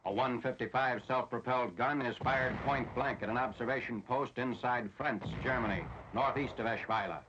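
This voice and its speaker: A man's voice: medium pitch, moderate pace, hardly any pauses, very noisy. An American man narrating a 1940s military newsreel in a formal, matter-of-fact way. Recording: dull, lo-fi 1940s film soundtrack.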